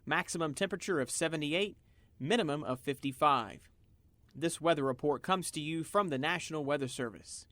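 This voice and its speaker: A man's voice: on the high side, fairly quick, a bit bright, dry recording, close to an everyday voice. An actor playing a matter-of-fact male weather reporter in a radio drama, reading formally.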